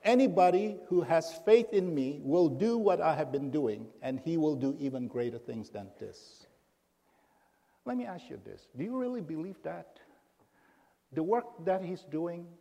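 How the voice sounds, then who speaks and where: A man's voice: on the high side, animated, creaky, dark tone. Middle-aged man preaching in a hall. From Indonesia. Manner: informal, halting with many fillers. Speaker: guest pastor. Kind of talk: sermon. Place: church sanctuary.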